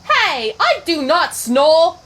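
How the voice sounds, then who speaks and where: An actress playing a feisty, squeamish young space-travel companion in an audio drama, expressive and quick to exclaim. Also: female voice, very high-pitched, slight background noise, exaggerated voice. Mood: indignant. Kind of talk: full-cast audio drama acting.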